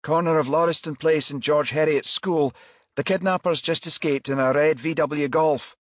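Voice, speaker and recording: A man voicing a brisk, alert female police detective in an audiobook, with clipped, urgent delivery. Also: on the high side, fairly even intonation, dry recording, clean studio sound, pitched above the performer's own voice, close to an everyday voice. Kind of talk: audiobook character dialogue.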